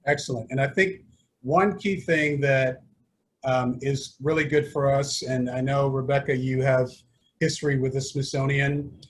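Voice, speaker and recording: Halting man moderating a panel. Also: mid-range voice, steady tone, a little hiss or hum. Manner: halting, many fillers and restarts. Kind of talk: hosting a panel discussion.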